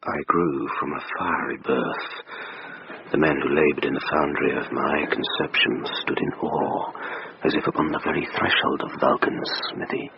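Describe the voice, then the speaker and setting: An ancient, brooding building from a radio play, rumbling extremely low with a thick creak. It speaks in grand, disdainful, poetic phrases. The recording is muffled and noisy. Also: male voice, steady tone, moderate pace. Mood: grandiose, brooding. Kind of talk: dramatic monologue in a radio play.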